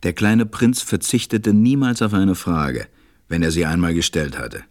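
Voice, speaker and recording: Deep-voiced, reflective stranded pilot. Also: male voice, dry recording, studio quality. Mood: reflective, worried. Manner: measured, reflective storytelling. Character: grown male stranded pilot, reflective, preoccupied narrator. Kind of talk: German radio play narration.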